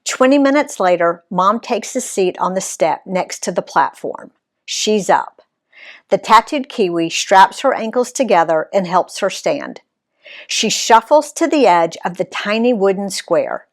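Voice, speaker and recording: Slow, expressive woman reading aloud. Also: mid-range voice. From Alabama, USA. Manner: unhurried, lively reading that voices the quoted characters. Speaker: author reading her book aloud. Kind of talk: expressive read-aloud storytelling.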